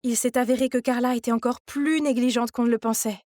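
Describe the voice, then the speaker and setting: High-pitched woman narrating a story. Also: steady tone, fairly quick, darkish tone, no echo, clean studio sound. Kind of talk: scripted first-person story narration.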